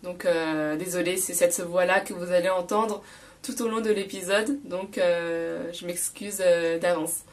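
A young woman with a cold, slightly hoarse and nasal, hosting a relaxed spoken French lesson with many fillers and careful repetition. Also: lowish voice, recorded from a distance. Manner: frequent fillers, repeats key words slowly. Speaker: podcast host teaching French.

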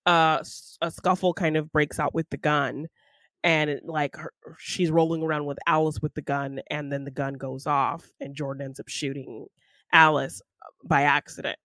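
A woman's voice: sits low, fairly even intonation, moderate pace, a bit bright, no echo. Woman podcaster, casual storytelling, dry close sound. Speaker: podcast co-host. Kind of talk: casual film discussion, retelling a plot.

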